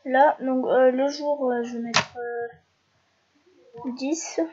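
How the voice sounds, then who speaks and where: A young woman from France with a head cold walks through a screen-recorded tutorial. Her voice sits high and she stumbles, pausing on fillers and restarting her sentences. The home mic sounds dull and lo-fi. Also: fairly even intonation, darkish tone. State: stuffy with a cold. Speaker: YouTuber.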